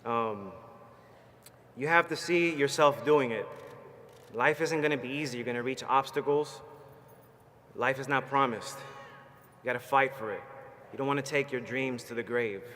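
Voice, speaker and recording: A man's voice: mid-range voice, a little slow, long pauses, fairly bright tone. A man, a Marine veteran giving a rousing commencement speech from a stage. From Puerto Rico. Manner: fired-up, rousing, plainspoken and personal. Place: large ceremony hall, from a stage. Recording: long hall reverb, faint background noise.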